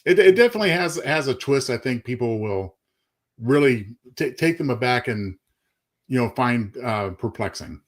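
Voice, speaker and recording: A man's voice: medium pitch, expressive, normal speed. A man co-hosting a live show and talking about his writing in a courteous, slightly formal but chatty way. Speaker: co-host and short story author. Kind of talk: casual live chat.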